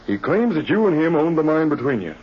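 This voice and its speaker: An actor playing a scheming frontier crony with folksy diction in a 1940s radio western. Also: male voice, a little high, very animated, quick pace, slightly rough. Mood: sly, matter-of-fact. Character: scheming frontier crony bringing news from town. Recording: muffled old radio recording.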